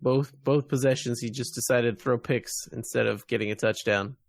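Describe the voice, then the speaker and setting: A man's voice: mid-range voice, steady tone, normal speed, creaky, no echo, clean studio sound. A man co-hosting a podcast, chatting casually about sports. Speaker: podcast co-host.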